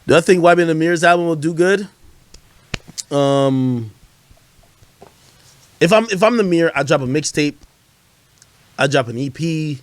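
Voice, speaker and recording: A man's voice: a little high, measured pace, long pauses, no echo. A man streaming hip-hop commentary, talking in loose, profane slang and often repeating phrases as he answers viewers. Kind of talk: casual livestream chat answering viewer questions.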